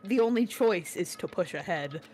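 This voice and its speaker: A woman's voice: fairly low pitch, animated, moderate pace, a little mellow, faint music behind. A woman playfully reading game dialogue aloud and chatting among friends. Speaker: gaming video participant. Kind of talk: playful group read-aloud.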